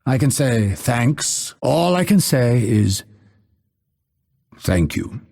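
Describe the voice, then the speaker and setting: A man voicing a cold, proud assassin in a video game, sardonic and impatient, with a gravelly, husky, theatrical and clipped delivery. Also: mid-range voice, sing-song, extremely slow, darkish tone, heavily acted. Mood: grudgingly grateful. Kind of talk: video game character voice lines.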